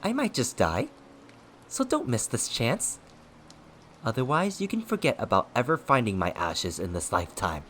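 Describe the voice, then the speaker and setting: A man's voice: low-pitched, wildly swooping pitch, brisk, few pauses, slight background noise, full character voice. A man voicing a gleefully unhinged, cocky male ghost who mocks and taunts in an audiobook story. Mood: defiant, taunting. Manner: cocky, mocking, manic, shouting and swooping.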